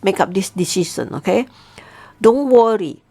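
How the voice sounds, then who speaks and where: A woman's voice: medium pitch, lively intonation, normal speed, warm tone, husky, no echo. A woman voicing a stubborn king who insists all his sons go out to fetch wood. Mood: insistent. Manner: firm, insistent. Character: stubborn commanding old king.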